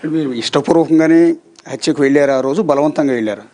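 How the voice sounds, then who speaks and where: A man's voice: on the high side, fast talker, a little mellow. A man working as a television news interviewer, putting probing questions and checking statements point by point.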